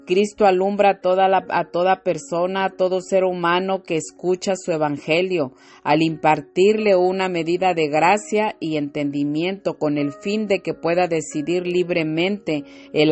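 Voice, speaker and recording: Low-voiced woman preaching slowly over faint music. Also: steady tone, darkish tone. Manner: slow, fervent, frequent hallelujahs and amens. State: slightly irritated throat. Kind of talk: devotional sermon with scripture reading.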